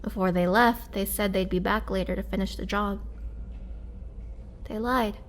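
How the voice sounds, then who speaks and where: A woman playing a lonely, wry immortal vampire prisoner who looks young, in a halting, dramatic roleplay style. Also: medium pitch, fairly even intonation, slight background noise, close to an everyday voice. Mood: bitter, wry. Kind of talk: dramatic girlfriend roleplay audio acting.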